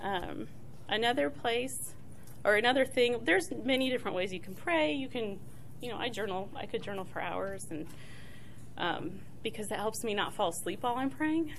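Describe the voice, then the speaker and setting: A middle-aged woman, soft-spoken and chatty, leading a casual church talk on prayer with many fillers and restarts. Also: fairly high pitch, animated, moderate pace, a little hiss or hum.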